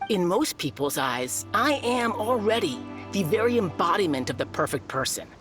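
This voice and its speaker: An actress playing a confident, self-satisfied tournament challenger in a video game, with a lofty, self-assured manner. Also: female voice, medium pitch, big pitch swings, moderate pace, over music, natural voice.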